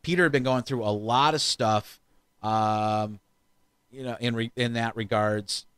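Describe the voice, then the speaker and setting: A man co-hosting a podcast in relaxed casual chat, rambling with restarts. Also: mid-range voice, unhurried, clean tone, no echo. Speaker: podcast co-host.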